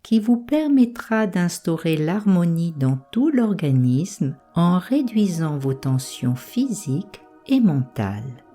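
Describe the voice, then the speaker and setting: A woman leads a guided relaxation in a low, softly husky voice over faint music. Her delivery is calm and soothing, with measured instructions and pauses between them. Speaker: meditation guide. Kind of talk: slow guided yoga nidra relaxation.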